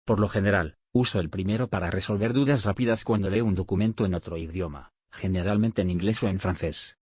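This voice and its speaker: Low, husky man reading calmly. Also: fairly quick, no room sound, clean studio sound. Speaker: reader of survey answers. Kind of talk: scripted reading.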